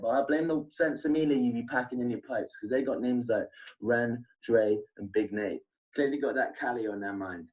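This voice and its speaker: A man's voice: mid-range voice, far from the mic, dull audio. A man with a southern English accent delivering aggressive, insult-heavy battle rap verses. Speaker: battle rapper.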